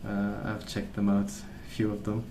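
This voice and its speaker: A man rambling casually in a soft-spoken ASMR style, hesitant and meandering as he hosts. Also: fairly low pitch, completely flat delivery, normal speed, reverberant, noisy background. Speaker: ASMR video host.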